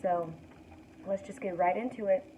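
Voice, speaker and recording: A young woman with a raspy morning voice, giving a relaxed, soothing tarot card reading to camera as the host. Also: medium pitch, normal speed. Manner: relaxed, soothing, guides breathing. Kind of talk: casual spiritual reading to camera. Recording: tinny distant mic with faint noise.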